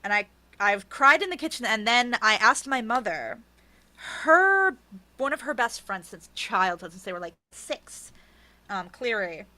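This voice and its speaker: Woman, higher voice, bright and forceful, emphatic storyteller, candid interview conversation. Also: big pitch swings, unhurried, rough recording. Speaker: author being interviewed.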